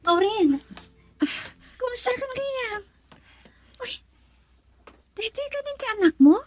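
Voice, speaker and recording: A woman acting a chatty, excitable young-sounding friend with an Ilocano accent in a radio drama. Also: very high voice, takes it slowly, slight background noise, heavily acted. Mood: excited.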